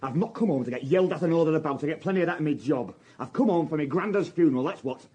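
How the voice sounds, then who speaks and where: An actor playing an exasperated, blunt young soldier with a Northern English accent in a radio drama. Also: male voice, on the high side, expressive, rapid-fire, a little mellow, breathy edge. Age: young adult. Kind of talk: radio crime drama acting.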